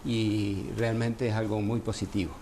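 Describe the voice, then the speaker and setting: Argentine doctor guest, low mellow gravelly voice, unhurried, faint noise behind. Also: male voice, flat delivery. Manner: measured, explains with many fillers. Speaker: gynecologist guest. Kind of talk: radio interview by phone. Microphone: phone line.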